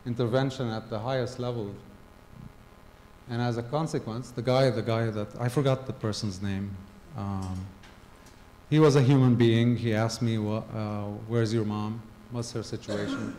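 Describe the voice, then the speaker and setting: A man's voice: on the low side, mellow, a little hiss or hum. Middle-aged man speaking in a reverberant hall. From Gaza. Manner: halting, many ums and restarts. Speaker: conference speaker. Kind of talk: personal storytelling talk. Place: large hall with a stage. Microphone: handheld mic. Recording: long hall reverb, faint ambience.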